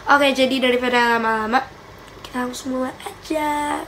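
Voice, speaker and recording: A woman's voice: sits high, moderate pace, a little hiss or hum. A young woman chatting casually through a Q&A vlog in her bedroom, a little stuffy-nosed from a cold. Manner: chatty, giggly, animated hand gestures. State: slightly stuffy nose from a cold. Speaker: vlogger. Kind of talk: casual Q&A chat. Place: small bedroom indoors.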